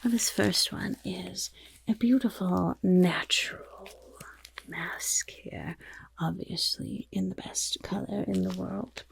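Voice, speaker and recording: A woman voicing a friendly female goblin rogue in an ASMR fantasy roleplay, with a breathy, raspy whisper and gently encouraging, genteel phrasing. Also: on the low side, fairly even intonation, slow pace, exaggerated voice. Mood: helpful, reassuring.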